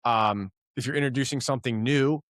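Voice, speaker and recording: A man's voice: mid-range voice, a little fast, a bit bright, no room sound, clean studio sound. A man from Philadelphia hosting a podcast, asking long, searching questions full of fillers. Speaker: podcast host. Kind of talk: casual conversational interview.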